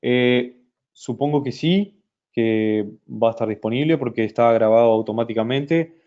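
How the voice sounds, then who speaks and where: A man presenting a software product in a webinar, talking slowly with many fillers and restarts. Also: medium pitch, narrow, tinny audio. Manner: hesitant, many fillers and restarts, speaks slowly in Spanish for a Brazilian audience.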